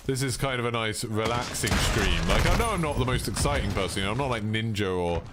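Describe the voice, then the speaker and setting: A man with a British accent chatting casually and calmly to viewers while playing a game, with a deep voice. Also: quick pace, heavy background noise. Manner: laid-back, chilled delivery. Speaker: gaming streamer. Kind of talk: casual live-stream chat over gameplay. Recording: gameplay gunfire and clicks behind.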